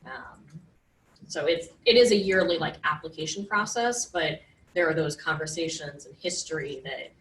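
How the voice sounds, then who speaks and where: Low-voiced woman, echoey meeting room. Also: normal speed, a bit bright. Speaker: grant-funded prevention coordinator. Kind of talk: answering questions in a group discussion. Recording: echoey room, far from mic, faint hum.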